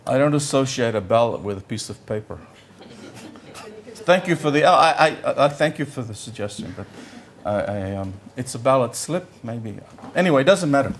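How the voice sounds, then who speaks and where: Older male economist, Yiddish first language, mid-pitched, halting conversational conference lecture. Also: animated, a little hiss or hum. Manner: halting, searches for words, repeats "I, I". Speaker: economics professor giving a lecture.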